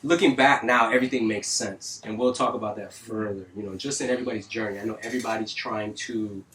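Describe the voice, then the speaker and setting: A middle-aged man from North Miami telling stories in a casual studio interview, animated and full of street slang and cursing. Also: fairly low pitch, fast talker. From North Miami, Florida. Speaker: music engineer guest. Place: recording studio. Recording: distant mic, faint noise.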